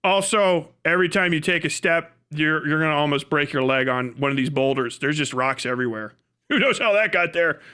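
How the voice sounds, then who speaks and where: A man's voice: on the high side, a little fast, pushed and bright, clean tone. An American man live streaming, telling rambling, casual stories in profane, slangy language with comic exaggeration. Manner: breaks into laughter at own stories.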